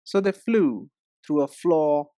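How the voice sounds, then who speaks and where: A woman giving an instructional pronunciation lesson, speaking deliberately and enunciating with emphasis and pauses. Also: sits low, lively intonation, dark tone, no room sound, studio quality. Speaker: English pronunciation teacher. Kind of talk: instructional lesson, demonstrating sounds.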